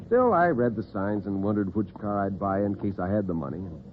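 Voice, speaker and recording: An American man telling dramatic tales as host and storyteller in classic radio style, theatrical and expressive. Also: lowish voice, brisk, background noise. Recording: narrow-band vintage radio recording, muffled.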